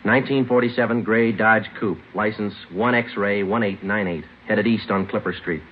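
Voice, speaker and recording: Low, deadpan police sergeant played by an actor, tinny recording. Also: male voice, moderate pace, close to an everyday voice. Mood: businesslike. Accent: American. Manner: deadpan, matter-of-fact, terse. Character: stoic, businesslike male police detective sergeant who narrates. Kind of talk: 1950s radio drama, clipped narration. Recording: tinny old radio broadcast, music behind.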